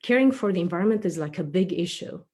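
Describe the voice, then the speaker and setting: A woman's voice: on the low side, expressive, fast talker, a little mellow. A woman, a preschool teacher and storyteller who talks to children live with playful explanations and questions. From Egypt. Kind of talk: introducing herself and telling a children's story live.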